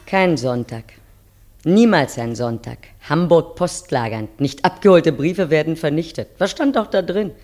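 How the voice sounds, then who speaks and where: A woman playing a wistful wife who narrates her memories in a measured, poetic radio play style. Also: low-pitched, lively intonation, a little mellow, soft background music. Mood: bitter, solemn. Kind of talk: dramatic first-person radio play narration.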